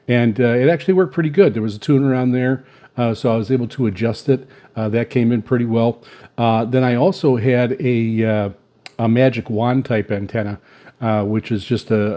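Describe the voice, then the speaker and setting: Mellow, halting man. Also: medium pitch. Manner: halting, rambling, hesitant with very frequent filler pauses. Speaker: shortwave radio hobbyist reviewing antennas. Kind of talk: unscripted casual product talk.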